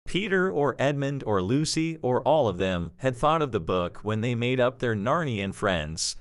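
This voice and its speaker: A man's voice: medium pitch, sing-song, normal speed. A man narrating a scripted story for an audio drama.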